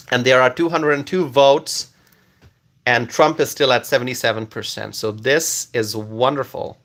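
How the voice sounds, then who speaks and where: Bright-voiced male live stream host. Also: medium pitch. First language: Bengali. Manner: friendly, thanks viewers by name, halting with fillers. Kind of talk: casual live stream chat reading comments.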